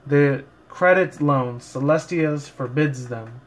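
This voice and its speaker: A man's voice: medium pitch, slow, natural voice. A man voicing a haughty, ladylike cartoon pony with a scornful, wordy manner in a story reading.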